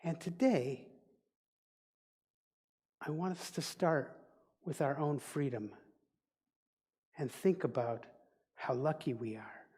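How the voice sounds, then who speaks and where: Slow-speaking Dutch-accented man preaching. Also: fairly high pitch, mellow, husky. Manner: slow, deliberate, reflective teaching. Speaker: minister. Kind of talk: sermon. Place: church sanctuary. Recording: long reverb of a large hall.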